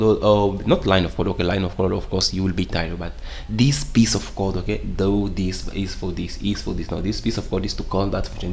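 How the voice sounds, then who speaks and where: A man's voice: lowish voice, darkish tone, slight background noise. A young man whose first language is Portuguese, teaching programming in English in a casual, rambling way and often checking in with "okay?". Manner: rambling, repeats "okay?" often, non-native grammar. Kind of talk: casual screen-share tutorial.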